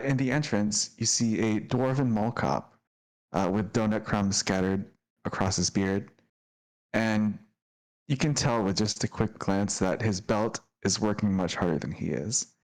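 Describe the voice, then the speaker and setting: A man hosting and narrating a tabletop roleplaying game. His voice sits a little low, and he talks in an upbeat, descriptive way, laughing easily with his players. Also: poor audio. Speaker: dungeon master.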